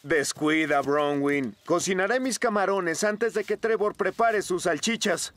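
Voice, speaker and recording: A man's voice: sits high, measured pace, fairly bright tone. An actor with a Latin American Spanish accent voicing an easygoing, reassuring grown man. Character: relaxed friendly grown man at a barbecue.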